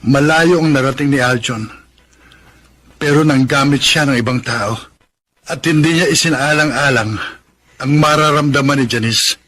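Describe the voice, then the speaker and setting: An actor playing a poor, proud, heartbroken young farmer and jealous lover in a Filipino radio drama, earnest and melodramatic. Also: male voice, medium pitch, moderate pace, pauses often, a bit bright, natural voice. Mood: insistent, sorrowful. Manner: melodramatic, earnest, self-pitying. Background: background music.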